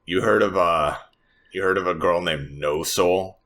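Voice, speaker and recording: A man's voice: sits low, unhurried, fairly bright tone. A man voicing a guarded, dryly sardonic woman from a gritty city in a tabletop roleplaying game, with understated delivery. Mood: guarded, resigned.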